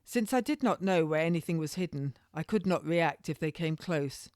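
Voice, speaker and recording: A woman's voice: low-pitched, expressive, normal speed, a little mellow, no room sound, studio quality. A woman author narrating her own historical novel in the first person, an amateur reader who occasionally stumbles over a word. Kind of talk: scripted first-person storytelling.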